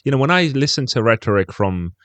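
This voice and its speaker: A man's voice: mid-range voice, sing-song, fast talker, fairly bright tone, dry recording. A man co-hosting a podcast, talking in a thoughtful, reflective way and often adding "you know". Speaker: podcast co-host. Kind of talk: casual chat.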